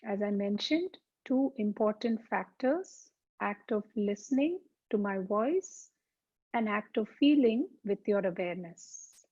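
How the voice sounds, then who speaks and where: A woman teaching yoga as a slow guided meditation, speaking calmly and deliberately with soothing pauses. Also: mid-range voice. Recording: dull, muffled lo-fi sound.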